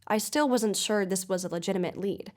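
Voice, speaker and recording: An actress playing a measured, skeptical investigative podcast host in a scripted audio drama. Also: female voice, mid-range voice, a little mellow, lightly acted. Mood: uncertain, reflective. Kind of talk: scripted investigative narration and interview.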